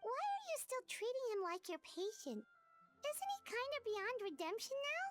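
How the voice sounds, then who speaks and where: Tiny childlike fairy sidekick, video game, extremely high, squeaky, blunt, wildly animated intonation. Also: female voice, a little slow, soft background music, full character voice. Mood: puzzled, curious. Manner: squeaky, exaggerated, inquisitive. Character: small childlike floating fairy companion, excitable and blunt. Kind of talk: scripted video game dialogue.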